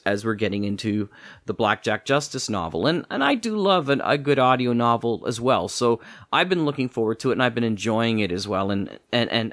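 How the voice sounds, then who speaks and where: A man's voice: medium pitch, fairly bright tone, no echo, studio quality. A man hosting a casual interview, talking in a rambling, encouraging way. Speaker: podcast host, interviewer.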